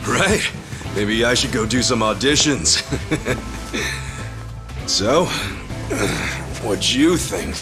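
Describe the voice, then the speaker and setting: An actor playing a plucky, streetwise hero in a video game, speaking in an earnest, familiar way. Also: male voice, medium pitch, lively intonation, extremely slow, long pauses, music in the background. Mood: playful. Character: plucky, loyal, plain-spoken streetwise hero, adult man. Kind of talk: English video game voice acting.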